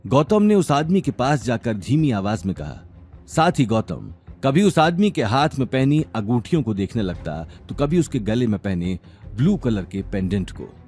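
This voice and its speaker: A man's voice: mid-range voice, big pitch swings, moderate pace, a little mellow, faint music behind. A man narrating a scripted audio drama series in a dramatic storytelling style.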